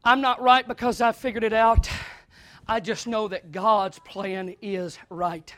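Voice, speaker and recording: A man preaching a sermon in a small church, dropping to quiet talk and then rising into shouted preaching. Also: fairly even intonation, unhurried, no room sound. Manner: swings from low conversational talk to shouted preaching. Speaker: pastor.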